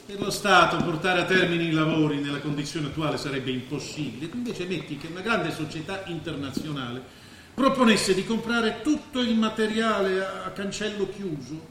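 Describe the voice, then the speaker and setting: Scheming businessman for stage drama, played by a male actor: higher-pitched, rhetorical, grandiose, heavy echo with faint noise. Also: natural voice. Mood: grandiose, persuasive. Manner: declamatory, rhetorical, with weighted pauses. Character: grandiose, scheming businessman, former artist, persuasive and cynical. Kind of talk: theatrical stage drama acting. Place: indoor stage of a theatre.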